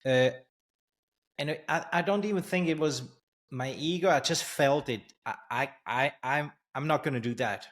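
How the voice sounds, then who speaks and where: Halting man telling his story. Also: fairly high pitch, a bit bright. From Switzerland. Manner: halting, many restarts and fillers, laughs while telling stories. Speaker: guest, entrepreneur and coach. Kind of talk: storytelling in an interview. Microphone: studio mic on a stand, video call.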